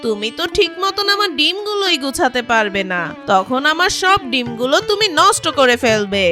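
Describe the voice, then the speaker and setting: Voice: sits high, sing-song, moderate pace, over music. A performer voicing a practical village woman in a children's cartoon, speaking in a matter-of-fact, instructing way. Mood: brisk, practical. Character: practical village woman, mother or farm owner. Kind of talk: children's moral cartoon dialogue.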